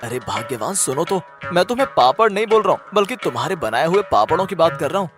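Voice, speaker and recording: A good-humoured village farmer voiced by a man with a highish, animated voice. He is affectionate and coaxing, a cartoon story character with music behind him. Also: fast talker. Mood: pleading, coaxing. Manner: coaxing, reassuring.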